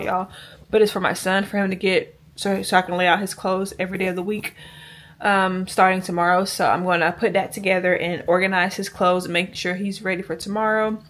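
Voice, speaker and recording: A young woman talks straight to the camera she holds at arm's length. She chats loosely with her viewers and rambles from one thing to the next. Her voice sits at a middle pitch for a woman. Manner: chatty, rambling, addresses viewers as y'all. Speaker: vlogger. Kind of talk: casual chat to camera. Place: home bedroom and a store. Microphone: handheld camera at arm's length.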